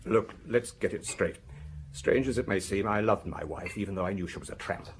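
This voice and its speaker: An actor playing a weary, defensive husband under police questioning in a radio drama, speaking hesitantly and explaining himself in broken sentences. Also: male voice, low-pitched, a little fast, a little hiss or hum, lightly acted. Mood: guarded.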